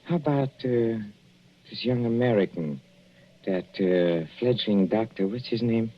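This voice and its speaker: An actor playing a fussy, cloying older husband with veiled menace in a 1940s radio drama, coaxing with oily endearments and small chuckles. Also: male voice, lowish voice, unhurried, close to an everyday voice. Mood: probing, sly. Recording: dull, noisy old radio recording.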